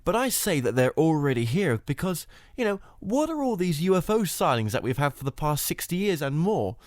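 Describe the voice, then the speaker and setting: A man's voice: fairly high pitch, sing-song, a little fast, a little mellow, dry recording, clean studio sound. A male host asking rambling interview questions full of "you know" fillers.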